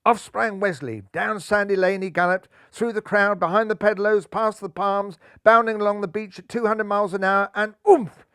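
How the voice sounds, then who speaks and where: Lively older man reading a storybook. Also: high voice, normal speed, hardly any pauses, clean tone, no echo, studio quality. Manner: lively, theatrical. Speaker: storybook narrator. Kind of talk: expressive children's story reading. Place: living room, seated in armchair. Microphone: lapel mic.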